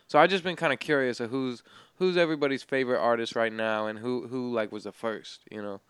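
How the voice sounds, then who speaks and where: A man's voice: medium pitch, brisk, a bit bright, clean tone, dry recording. A man in his late twenties interviewing a guest over the phone, talking in a laid-back, rambling way full of slang and fillers. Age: 20s. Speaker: podcast interviewer. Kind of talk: casual phone interview chat.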